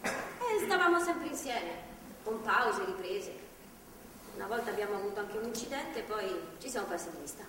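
A woman's voice: medium pitch, expressive, moderate pace, natural voice. An actress playing a stubborn young captive woman in a stage drama, bitter and blunt, with coarse emphatic talk. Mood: guarded. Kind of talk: live stage drama dialogue. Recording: distant echoey theatre hall, faint noise.

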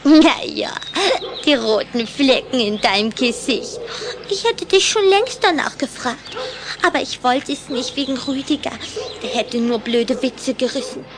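A woman playing a smitten, headstrong little vampire girl in a German children's audio drama, speaking with coquettish, expressive emphasis. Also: high voice, unhurried, a crowd in the background. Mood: concerned, coy.

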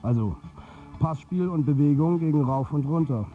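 A man's voice: a little high, normal speed, close to an everyday voice. Male actor as wry football manager, middle-aged, beleaguered, colloquial radio drama narration, thin phone-like sound, music behind. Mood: keen, confiding.

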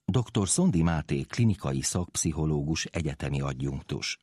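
A man's voice: extremely low pitch, expressive, a little mellow, husky, no echo. A man presenting in the style of a scripted narration.

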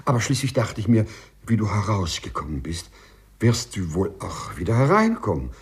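A man's voice: fairly low pitch, huge pitch swings, normal speed, husky, full character voice. An actor playing a timid, overly correct male civil servant with hesitant, formal phrasing in a 1950s radio play. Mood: agitated, bewildered.

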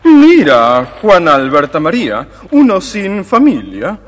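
An actor playing a snobbish, vain wild duck in an audio storybook, haughty and affected. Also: male voice, on the high side, wildly swooping pitch, slow, heavily acted. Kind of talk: audio storybook character acting. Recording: long reverberant echo.

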